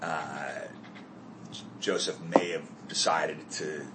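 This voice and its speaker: A man's voice: a bass voice, little pitch movement, takes it slowly, heavy background noise. A man leading a group Bible study discussion, asking the group questions and correcting himself as he goes.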